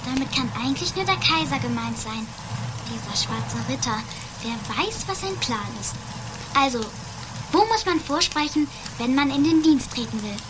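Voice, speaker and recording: A plucky child in a medieval radio drama for young listeners. The voice is high, eager and decisive, with clear background noise. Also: female voice, lively intonation, normal speed. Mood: puzzled. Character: bold, determined, teasing medieval child. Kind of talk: German children's radio play acting.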